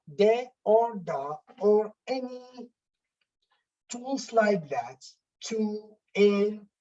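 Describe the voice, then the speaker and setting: Casting: female language teacher, non-native English speaker, unhurried and halting, soft-edged mellow tone, walking learners through exercises. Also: mid-range voice, no echo, lo-fi sound. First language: not English. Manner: halting, repetitive, explaining step by step. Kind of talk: bilingual lesson, reading out exercises and explaining answers.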